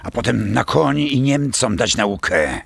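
Very creaky, husky old countryman. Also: mid-range voice, animated, unhurried, no room sound, heavily acted. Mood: chiding. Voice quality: gravelly, husky. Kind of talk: illustrated radio drama acting.

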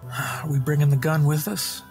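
A man voicing a gruff, blunt rogue who talks in short, halting phrases in a tabletop game. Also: on the high side, level tone, darkish tone, soft background music, lightly acted. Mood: gruff, guarded.

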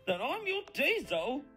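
A woman voicing an excitable, childlike male diesel engine sidekick in a fan cartoon dub, gushing and eager. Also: high-pitched, exaggerated voice. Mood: excited. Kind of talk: fan comic dub voice acting.

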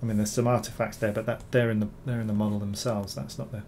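A man, hoarse from recovering from illness, talking through a screen-recorded software tutorial, rambling with many ums and repeated words. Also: lowish voice, flat delivery, quick pace, a little hiss or hum. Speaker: bas-relief sculptor reviewing software.

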